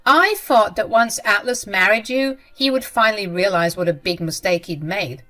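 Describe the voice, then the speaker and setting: A woman voicing a scornful, spiteful middle-aged mother-in-law who talks loud and shrill. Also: moderate pace, soft background music, close to an everyday voice. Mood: furious.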